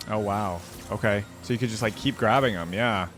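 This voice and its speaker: A man's voice: on the low side, normal speed, over music. A man hosting a reaction video in casual commentary, enthusiastic and often exclaiming.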